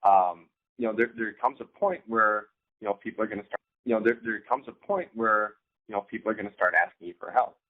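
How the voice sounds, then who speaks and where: A man with a slightly low voice speaks through a thin, phone-like connection. He coaches informally, doubling back on his words and often checking in with a quick "right?". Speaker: co-host, online business coach. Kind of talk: informal instructional talk. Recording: thin, phone-like band-limited sound.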